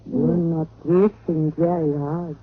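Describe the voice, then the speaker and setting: A thin vintage radio recording carries a sultry cafe temptress. Her voice is husky and coy, teasing with cool flirtation. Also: deep voice, level tone, takes it slowly, phone-quality sound. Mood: coy, flirtatious. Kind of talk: old-time radio detective drama acting.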